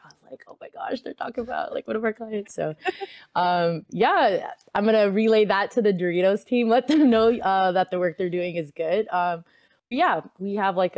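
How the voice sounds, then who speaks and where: Podcast guest: young woman, lowish voice, easygoing, laughs a lot, dry close sound. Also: no room sound. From Fiji. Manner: relaxed, laughs often, fillers like um. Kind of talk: casual podcast interview.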